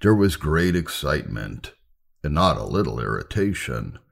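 A man with an extremely deep, gravelly voice reads religious history aloud. His delivery is solemn and emphatic, with pitch that moves in a lively way through each sentence. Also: moderate pace. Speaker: narrator reading church history. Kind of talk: scripted narration, reading aloud.

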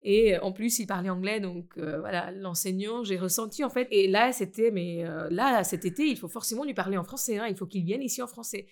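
Woman, from India, middle-aged, halting speech, many fillers, interview guest. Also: mid-range voice. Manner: hesitant, many fillers and restarts. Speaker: interviewee, school founder. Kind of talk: conversational interview.

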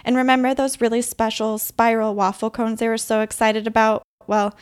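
A woman's voice: a little high, level tone, moderate pace, clean tone. A woman hosting a podcast, reading scripted narration that tells a story. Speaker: podcast host and interviewer.

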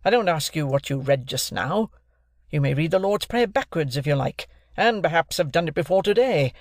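A man voicing a bullying, sarcastic gentleman who cross-examines in a hectoring, authoritative manner for an audiobook. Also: fairly high pitch, animated, moderate pace, no echo, studio quality, pitched above the performer's own voice. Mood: impatient. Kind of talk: classic novel narration, character voice.

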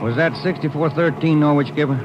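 A man's voice: fairly high pitch, brisk, lightly acted. A man playing a laconic police detective in 1940s radio drama style. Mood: matter-of-fact. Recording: dull old radio recording, car noise.

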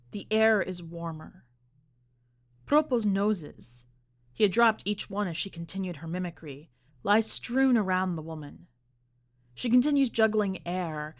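A woman podcast host narrating and commenting on literary fiction in a measured, expressive manner. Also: medium pitch. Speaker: podcast host and story reader.

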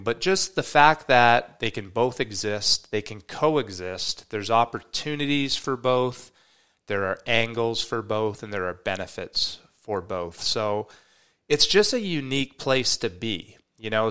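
Bright, clear-voiced podcast host man. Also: fairly low pitch. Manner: upbeat, enthusiastic, slightly rambling. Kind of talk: podcast intro and interview.

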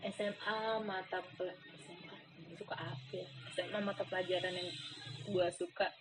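A woman's voice: fairly low pitch, fairly even intonation, background noise. A young woman, joking and teasing in a casual chat. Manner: playful, teasing, quick to laugh.

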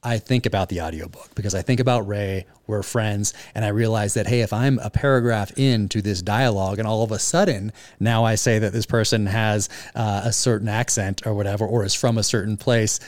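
A man who is an author, chatting casually in an interview and telling relaxed stories with plenty of fillers. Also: lowish voice, brisk, few pauses, dry recording. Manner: relaxed storytelling with frequent fillers.

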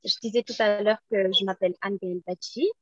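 A woman from Senegal with a Senegalese French accent, speaking as a guest and making an earnest, measured appeal for support. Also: mid-range voice, steady tone, highs cut off. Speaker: guest, project founder.

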